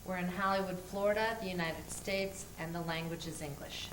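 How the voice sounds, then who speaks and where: A woman conducting an oral history interview, asking calm, methodical questions. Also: on the low side, moderate pace, noisy background.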